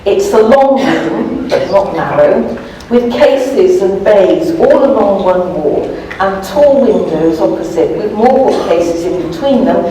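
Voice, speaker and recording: A woman's voice: mid-range voice, lively intonation, slow, warm tone. A woman author giving a live comic reading from a novel with a wry, amused manner. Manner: wry, amused, often chuckling. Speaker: author reading aloud from their own novel. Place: indoor live event room. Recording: echoey room, distant mic, background noise.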